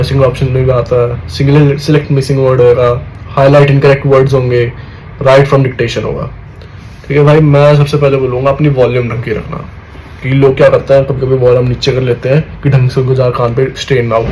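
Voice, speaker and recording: A man's voice: medium pitch, darkish tone, slight background noise, low-quality recording. A young man in casual talk to his viewers, giving exam tips in a chatty way, often calling them brother and checking they follow. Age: young adult. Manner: chatty, addresses viewers as "bhai", repeats "theek hai". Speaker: student vlogger.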